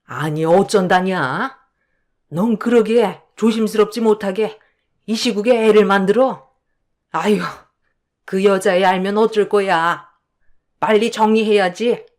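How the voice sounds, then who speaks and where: A woman voicing a snobbish older mother-in-law who talks in a sharp, scolding, dismissive way. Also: medium pitch, a little slow, pauses often, lightly acted. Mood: scheming. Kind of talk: dramatized storytelling.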